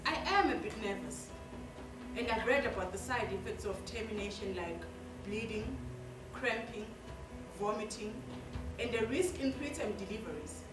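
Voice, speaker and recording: A woman playing an ashamed, dutiful young woman in a solemn stage monologue, confessing in deliberate, formal words. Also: mid-range voice, animated, lightly acted. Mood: ashamed, anxious. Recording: echoey stage, distant mic, music behind.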